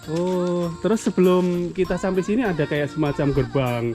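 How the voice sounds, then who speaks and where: A man's voice: high-pitched, animated, normal speed, music in the background. A man from Java working as a vlogger, chatting casually with villagers on location, asking questions and repeating their answers back. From Java, Indonesia.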